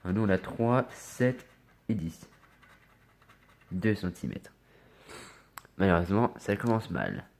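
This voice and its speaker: A man with a slightly low voice and a head cold talks casually to his viewers while scratching tickets. He often trails off into quiet muttering as he checks letters one by one. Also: sing-song, takes it slowly, gravelly, long pauses. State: has a cold. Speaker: scratch card vlogger.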